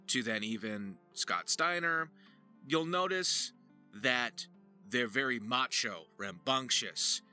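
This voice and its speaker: Animated, very bright-voiced man. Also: medium pitch. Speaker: voice actor hosting a tutorial. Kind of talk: casual tutorial chat.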